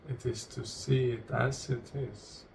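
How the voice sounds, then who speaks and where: A man leading a guided meditation in a solemn, deliberate way, speaking in short, broken phrases. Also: medium pitch, steady tone, a little mellow. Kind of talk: guided meditation, spoken slowly and reflectively. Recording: distant mic with clear background noise.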